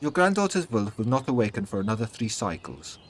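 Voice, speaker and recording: A man voicing a young-looking immortal huntress with a German accent, measured and formal, in a fantasy audio story. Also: on the low side, very animated, pitched above the performer's own voice. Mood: neutral. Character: young-looking German huntress, two hundred years old, guarded, neutral.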